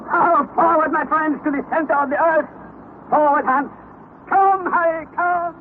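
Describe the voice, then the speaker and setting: A man doing a hardy expedition guide, very high voice, calls out urgently, tinny old radio sound with faint hiss. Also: steady tone, measured pace. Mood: eager. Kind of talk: 1930s radio drama acting.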